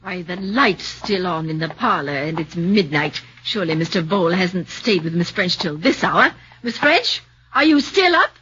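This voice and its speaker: An actress playing a fretful, solicitous housekeeper in a 1940s radio drama. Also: female voice, fairly low pitch, lively intonation, normal speed, bright, forceful tone, a little hiss or hum. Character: worried housekeeper of an old lady. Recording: muffled 1940s radio broadcast.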